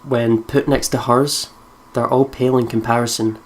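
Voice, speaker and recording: A woman's voice: very deep voice, steady tone, normal speed, darkish tone. A Scottish woman hosting a let's play who chats casually, swears freely and reads game text aloud. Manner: chatty, swears freely, laughs often. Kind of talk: casual gameplay commentary and reading aloud.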